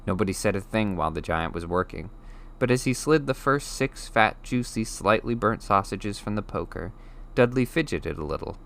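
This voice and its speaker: A man reading a children's novel aloud as an audiobook, in expressive scripted narration. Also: fairly low pitch, moderate pace, gravelly. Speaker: audiobook reader.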